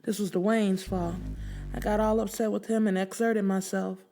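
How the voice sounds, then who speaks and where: A woman's voice: medium pitch, flat delivery, moderate pace, dark tone. A woman narrating a story in the first person with dramatic, emphatic, slangy delivery. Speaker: audiobook narrator.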